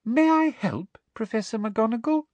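A smug, toad-like woman official , put on by a man, simpers in a high voice. Every phrase is sickly sweet with poison underneath, delivered as storybook character acting. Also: extremely slow, darkish tone, dry recording, lo-fi sound, exaggerated voice. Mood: sugary interrupting.

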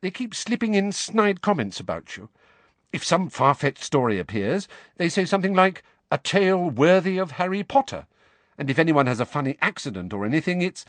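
An anxious, clever teenage witch, played by a man reading a storybook, her voice lifted well above his own. She explains things quickly and earnestly, with lively swoops in pitch. The sound is dry and close. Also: no echo. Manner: rapid, earnest, nervous explaining. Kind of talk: audiobook character voice.

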